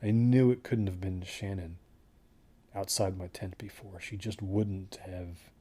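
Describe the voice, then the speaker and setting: A man plays a haunted hiker telling his story in an audio drama. The voice is low and husky, and he talks haltingly and introspectively, like a confession from someone slowly coming apart. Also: fairly even intonation, mellow. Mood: uneasy, haunted. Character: haunted, unravelling male desert hiker recounting his trek. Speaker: first-person narrator of a horror audio drama.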